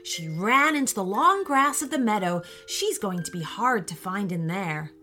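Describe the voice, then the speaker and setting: A woman's voice: mid-range voice, animated, normal speed, bright, forceful tone, faint music behind. A woman voicing a helpful, innocent young male wizard in a light, eager way, for a children's story.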